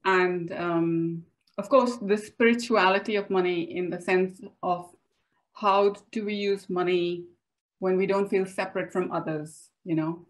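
A woman's voice: fairly low pitch, fairly even intonation, a little slow. A middle-aged woman from India with an Indian accent, speaking informally as a co-presenter on a video call. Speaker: financial advisor, webinar co-presenter. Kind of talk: informal spoken self-introduction to a group. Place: home room with bookshelves, indoors.

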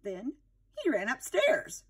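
A woman reading a picture book aloud to young children in an expressive teacher's manner. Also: fairly high pitch, slow, slightly rough. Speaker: storybook reader, teacher.

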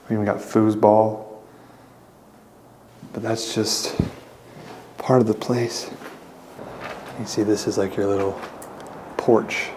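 A young man hosting a casual walking tour vlog, chatting to viewers as he explores an abandoned mansion, recorded on a handheld camera. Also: lowish voice. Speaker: urban explorer host. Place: outdoors and inside an abandoned mansion. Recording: noisy outdoor camera audio.

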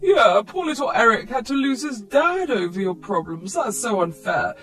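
A woman's voice: a little high, lively intonation, normal speed, fairly bright tone, faint music behind. A woman voicing a disapproving older parent, reproachful and pleading, in a story.

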